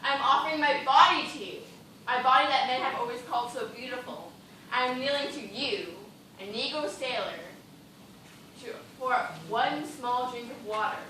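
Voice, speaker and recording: A woman playing a proud, desperate, delirious female dancer on stage, speaking slowly and imploringly with melodrama. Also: sits high, pushed and bright. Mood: seductive pleading. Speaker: stage actor. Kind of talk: theatrical stage acting. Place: indoor theatre stage, recorded from afar. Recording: echoey stage, distant microphone, noisy.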